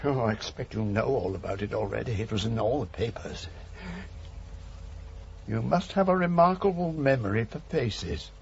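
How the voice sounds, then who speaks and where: A man's voice: mid-range voice, lively intonation, moderate pace, creaky, lo-fi sound, a little hiss or hum. An actor playing a timid, fussy British man in a radio drama, hesitant, stammering and anxiously polite. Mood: nervous, polite. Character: timid, nervous, fussy man with a guilty past.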